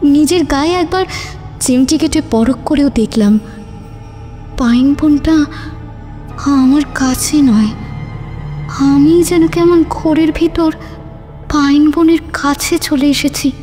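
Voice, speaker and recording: A woman's voice: high voice, slow pace, long pauses, warm tone. A woman playing a frightened wife who narrates her own ghostly encounter in a theatrical, suspenseful horror cartoon style. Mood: hushed wonder. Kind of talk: dramatic first-person horror narration. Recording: long reverb, music behind.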